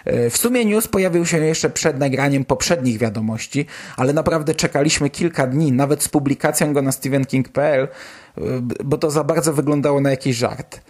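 A man's voice: a little high, brisk, darkish tone, husky. A man presenting news on a podcast in a colloquial, enthusiastic way, as its host. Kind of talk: casual news commentary on a podcast.

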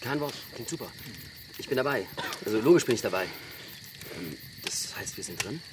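An actor playing a cheeky young backpacker in a German radio drama, flippant, slangy and rambling. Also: male voice, on the low side, animated, normal speed, noisy background, lightly acted. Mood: eager, unsure.

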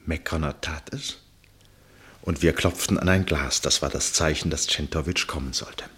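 The storyteller of a radio play, a keen observer relating a tense contest. His voice is very low and husky, and he builds suspense with pauses and drops to a hush at the ends of phrases. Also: full character voice. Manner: suspenseful, dramatic pauses and hushed phrase endings. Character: male storyteller, keen fascinated observer of a chess match. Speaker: narrator. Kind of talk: literary radio play narration.

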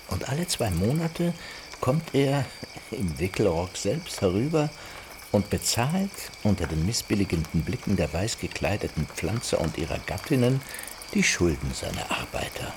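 A formal narrator in a literary radio play. His low voice swings with lively intonation over a clearly audible noisy backdrop.

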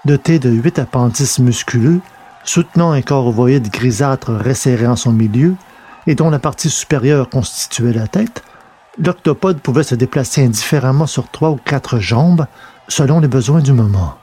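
A man's voice: mid-range voice, moderate pace, dark tone, breathy edge. A man reading a scripted science fiction adventure as narrator.

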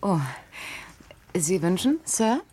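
A woman's voice: medium pitch, very animated, takes it slowly, mellow, slightly rough, full character voice. A woman playing a formal, courteous boutique saleswoman in a German radio crime drama, with a polished sales manner. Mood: courteous, helpful.

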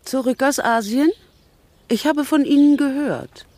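An older actress playing a blunt, disapproving elderly English spinster aunt in a radio play, brusque and scornful. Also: female voice, high-pitched, measured pace, darkish tone. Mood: brusque, probing.

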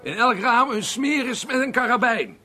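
An actor playing a drunk, aggrieved unemployed man rambling haltingly at a bar in a radio play. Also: very high-pitched, animated, bright, forceful tone, heavily acted. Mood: tipsy, grumbling. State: drunk (acted). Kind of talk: Dutch radio play monologue and dialogue.

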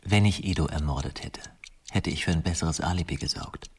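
A man playing an arrogant, composed literature lecturer under interrogation, husky and coolly defiant, in a radio crime drama. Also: extremely low pitch, a little fast, exaggerated voice. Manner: terse, evasive, coolly defiant.

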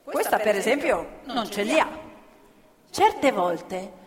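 A woman's voice: mid-range voice, very animated, slow pace, crowd noise. A woman narrating as an actor on stage, in colloquial, conversational theatrical storytelling. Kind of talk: theatrical storytelling of oral history. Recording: hall reverb, live stage performance.

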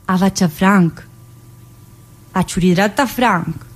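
A woman's voice: medium pitch, moderate pace, close to an everyday voice. An actress playing a talkative, earthy woman inmate in a theatrical monologue, rambling colloquially with a central-southern Italian accent. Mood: cheeky, wistful. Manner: colloquial, rambling, full of interjections.

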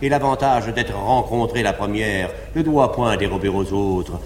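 An actor playing a cynical aristocratic seducer, speaking in elegant, measured declamation on a 1950s live stage. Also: male voice, fairly low pitch, slight background noise, close to an everyday voice. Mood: disdainful. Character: cynical aristocratic male seducer, haughty and smooth. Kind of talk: classical stage acting. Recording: echoey 1950s live theatre recording, reverberant hall.

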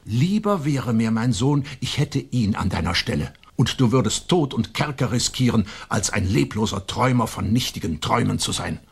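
An actor in a German radio play, playing a proud, domineering old colonial nobleman and stern father with a husky voice and an imperious, emphatic delivery. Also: fairly low pitch, lively intonation, normal speed, hardly any pauses, full character voice. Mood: scolding. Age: older.

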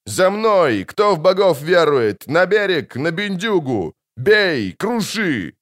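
A man's voice: sits high, very animated, takes it slowly, fairly bright tone, pitched above the performer's own voice, heavily acted. A man voicing a fierce army officer in an audiobook, roaring and barking orders to rally soldiers.

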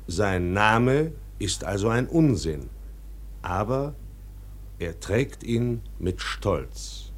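A man's voice: lowish voice, sing-song, drawn-out words, full character voice. An actor playing a learned, pedantic man reciting a grand treatise in a radio play, declamatory and precise. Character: learned, pedantic male lecturer reading a grand whale treatise.

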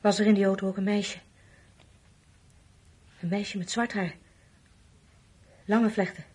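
An actress playing a frail, dazed, ailing woman with a husky, breathy voice in a radio drama. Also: mid-range voice, fairly even intonation, a little slow, a little mellow. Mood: feverish, pleading.

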